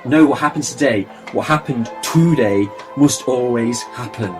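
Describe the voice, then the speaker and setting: A man acting in an audio drama as a grave, weary time traveller, speaking in a solemn, measured way. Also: medium pitch, over music, natural voice. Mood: grave, insistent.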